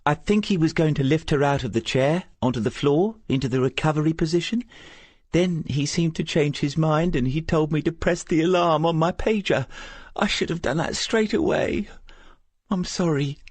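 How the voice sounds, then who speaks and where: A man's voice: on the high side, moderate pace, no room sound, pitched above the performer's own voice, lightly acted. A man voicing a nervous, apologetic young woman care worker in an audiobook, hesitant and meek. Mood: upset, apologetic. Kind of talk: audiobook character voice.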